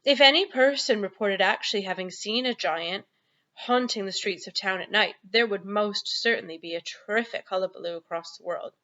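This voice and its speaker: A woman narrates a storybook for children in an expressive teacher's style. Her voice is bright and forceful, at a middling pitch. The home recording is slightly dull. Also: normal speed. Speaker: primary school teacher reading aloud.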